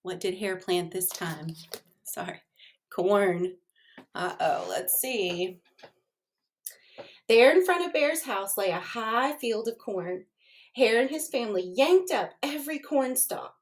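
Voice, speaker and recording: A woman's voice: medium pitch, expressive, slow pace, long pauses. A woman reading a children's storybook aloud expressively, addressing her young listeners as she goes.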